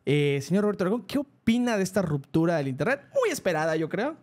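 A young man with a Mexican accent hosts a podcast, his voice pitched a little high. He teases his co-host in loose slang and slips into a mock-formal tone for news items. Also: very animated, fast talker. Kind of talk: casual comedic chat. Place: podcast studio.

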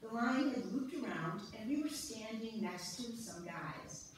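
A woman's voice: fairly high pitch, animated, a little slow. A woman playing a frank, wry wife telling her story in an amateur reader's theater, recorded far away in a church. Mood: wry, nostalgic. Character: frank wife Joanna, former Manhattan finance woman. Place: on stage in a church, far camera. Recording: very echoey hall, distant mic, noisy.

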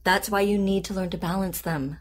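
An actress playing a calm, patient therapist in an audio drama, composed and deliberate in her delivery. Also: medium pitch, close to an everyday voice. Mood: firm, encouraging. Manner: composed, deliberate, clinical, reassuring. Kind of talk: naturalistic audio drama acting.

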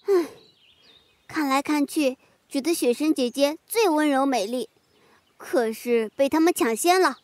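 Woman voicing young schoolgirl, very high voice, sweet, pouty, children's story acting. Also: unhurried, a little mellow. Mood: pouting. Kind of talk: children's audio drama acting.

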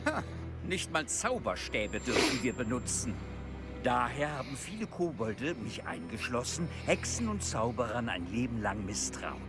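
Give me a grave, proud old goblin lord for a game, curt and distrustful, his pitch rising and falling with feeling. Game music sits clearly behind him. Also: big pitch swings. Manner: stern, measured, curt. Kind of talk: German game dub.

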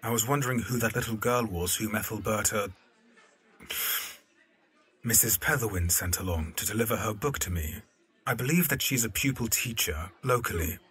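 A man playing a wistful, gentlemanly young musician in an audio drama, with a husky voice. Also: fairly low pitch, moderate pace. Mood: pensive.

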